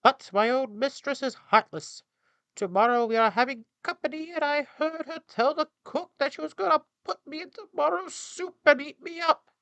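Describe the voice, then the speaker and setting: A man voicing an indignant, dramatic rooster in a fairy tale, proclaiming shrilly in drawn-out words. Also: mid-range voice, a bit bright, clear, resonant tone, no room sound, highs cut off, pitched above the performer's own voice.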